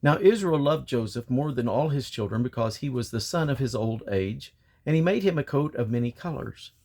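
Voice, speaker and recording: Man, medium pitch, Bible reader, scripture narration, deliberate clause-by-clause phrasing. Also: animated, a little mellow. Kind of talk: read-aloud scripture narration.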